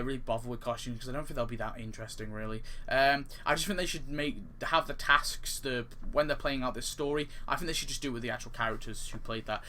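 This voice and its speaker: A man's voice: medium pitch, pushed and bright, a little hiss or hum. A man giving casual, off-the-cuff gaming commentary in a rambling way, often correcting himself mid-sentence. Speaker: gaming video creator. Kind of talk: casual off-the-cuff commentary on game update ideas.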